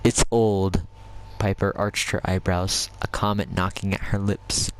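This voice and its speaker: Low-voiced man reading fan fiction. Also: completely flat delivery, normal speed, background noise. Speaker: reader. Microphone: game console headset.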